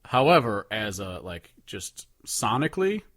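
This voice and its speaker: Male podcast co-host, lower register, opinionated casual talker, close dry sound. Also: big pitch swings, unhurried, fairly bright tone, no echo. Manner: opinionated, profane, informal. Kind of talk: casual chat.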